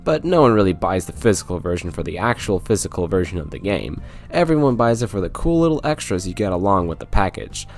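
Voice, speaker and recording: A man's voice: low voice, sing-song, quick pace, soft background music. A man narrating a scripted video game review in a wry, sarcastic way.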